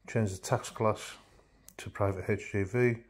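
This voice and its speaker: A man's voice: lowish voice, fairly even intonation, measured pace, breathy edge. Chatty middle-aged man, throaty. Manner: chatty, matter-of-fact walkthrough. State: throaty from a chest infection. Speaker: van life vlogger. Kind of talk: casual how-to vlog. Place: home kitchen indoors.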